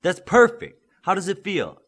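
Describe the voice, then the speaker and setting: A man's voice: high voice, very animated, a little slow, fairly bright tone, breathy edge, voice pushed up, heavily acted. A man voicing a firm, encouraging male superhero coach and mentor in an audiobook. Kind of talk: audiobook character acting.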